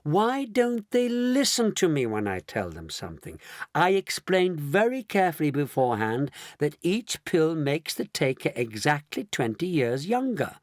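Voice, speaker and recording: A man's voice: on the high side, big pitch swings, measured pace, dry recording, studio quality, pitched above the performer's own voice. A man voicing an eccentric, fussy candy inventor with courteous, theatrical speech in a storybook reading. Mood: exasperated explaining.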